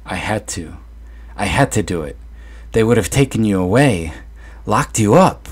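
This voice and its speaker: A man's voice: lively intonation, moderate pace, husky. A man voicing a deranged mother who taunts her son in a horror story. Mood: desperate. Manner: shrill, taunting, frantic. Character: unhinged mother, shrill and mocking.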